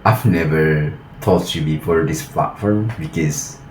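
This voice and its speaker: A man with an Indonesian accent speaks in a very deep voice at a slow pace. His learner English comes haltingly as he chats casually, with a little noise behind him. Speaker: language-learning vlogger. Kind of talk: casual vlog and video-call chat. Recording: some steady background noise.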